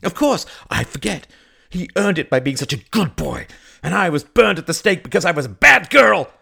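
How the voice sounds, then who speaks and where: A man's voice: on the high side, moderate pace, creaky, slightly rough, voice pushed up. A man voicing a giddy, overwrought young woman in an audiobook, theatrical, breathless and stammering, with a proud, tearful edge and brittle laughter. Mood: angry, bitter. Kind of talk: audiobook character acting.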